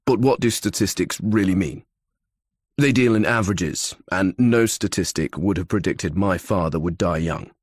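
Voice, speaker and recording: A man acts out a worried young athlete from a thriller audiobook. The voice is low and husky, with an earnest, fretful way of explaining himself. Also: moderate pace, no echo, clean studio sound. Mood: fretful, reasoning. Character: anxious young male athlete with health phobia.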